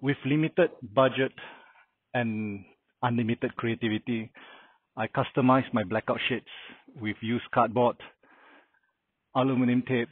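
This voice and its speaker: A man's voice: medium pitch, fairly even intonation, a little slow, long pauses, no room sound. A middle-aged man casually walking through a car camping setup, explaining things plainly with frequent uh fillers. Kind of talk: casual how-to walkthrough. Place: outdoor carport beside a house. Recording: dry, phone-like narrow sound.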